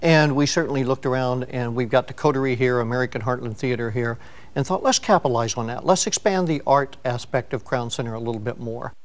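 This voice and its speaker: A man's voice: mid-range voice, brisk. A man who manages an entertainment venue, explaining plans in an interview.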